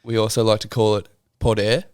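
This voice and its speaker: Low-voiced New podcast host. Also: male voice, level tone, a little fast, darkish tone, clean tone, no echo, studio quality. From New Zealand. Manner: chatty, jokey, asks rambling questions. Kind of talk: casual podcast interview. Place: podcast room.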